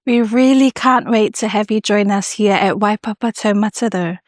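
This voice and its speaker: A woman's voice: medium pitch, normal speed, no room sound, clean studio sound. A woman giving scripted promotional narration with a polished, welcoming delivery.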